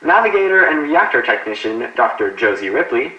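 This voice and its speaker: A man's voice: mid-range voice, sing-song, a little fast, muffled recording, close to an everyday voice. Composed, echoey space captain, played by a man. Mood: formal, proud. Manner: formal, commanding, encouraging. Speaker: ship's captain. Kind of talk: analog horror space audio drama. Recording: roomy echo.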